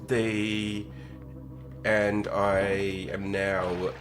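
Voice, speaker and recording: A man with a husky voice, recovering from being sick, chatting casually and thinking aloud as he live-streams a game. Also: fairly low pitch, level tone, extremely slow, music in the background. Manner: rambling, thinks aloud while reacting to the game. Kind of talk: casual live-stream chat while gaming.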